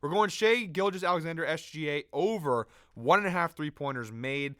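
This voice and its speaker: A young man, sick and losing his voice, running through a fast sports betting breakdown in a brisk, number-heavy way with quick fillers and self-corrections. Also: a little high, lively intonation, fairly bright tone, dry recording, studio quality.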